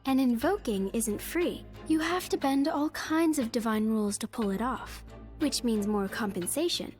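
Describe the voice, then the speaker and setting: An actress playing a composed otherworldly guide in a video game, explaining things in a measured, instructive way. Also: female voice, fairly high pitch, animated, a little mellow, faint music behind, close to an everyday voice. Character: otherworldly woman guide who explains summoning rules, composed. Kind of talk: video game cutscene acting.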